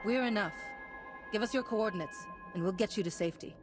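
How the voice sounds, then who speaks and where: A woman voicing a calm, principled, resolute Jedi knight in a video game, earnest and commanding. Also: mid-range voice, a little fast, lightly acted. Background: game music.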